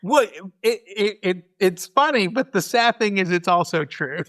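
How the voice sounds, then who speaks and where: A man's voice: sits high, lively intonation, a little slow, a bit bright. A man chatting casually as an interview guest, self-deprecating and repeating himself for emphasis.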